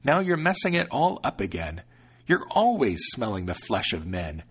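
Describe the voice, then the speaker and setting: A man voicing a kindly but scolding old grandmother in a high, slow voice. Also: big pitch swings, a bit bright, breathy edge, full character voice.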